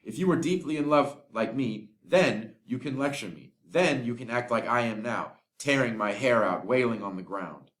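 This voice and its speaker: A man's voice: medium pitch, moderate pace, few pauses, fairly bright tone, lightly acted. A man voicing a lovesick, despairing young man who speaks with passion in a scripted audio drama. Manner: impassioned, pleading. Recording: echoey room.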